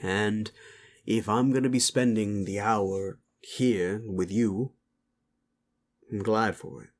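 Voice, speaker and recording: Casting: male actor for gruff, sleazy werewolf boss in an intimate audio drama; low pitch, very slow delivery, slangy, grudgingly tender bully. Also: steady tone. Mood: grudgingly sincere.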